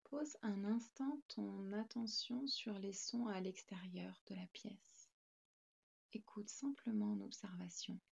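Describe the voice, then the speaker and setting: A woman guiding a yoga nidra meditation in a calm, gentle, soothing manner with spaced instructions. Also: mid-range voice, takes it slowly, gravelly, husky, dull audio.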